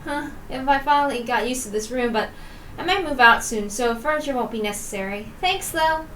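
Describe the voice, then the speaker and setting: A woman's voice: high voice, a little fast, fairly bright tone. A woman voicing a brash, teasing, independent young twin sister from a visual novel. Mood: casual. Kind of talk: visual novel character reading. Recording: roomy, distant mic with faint noise.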